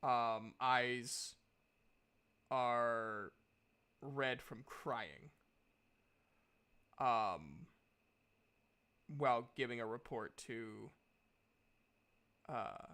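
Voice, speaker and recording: Slow, bright-voiced male game master. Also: medium pitch, clear, resonant tone. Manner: halting, pausing mid-sentence to compose descriptions. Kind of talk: improvised tabletop game narration.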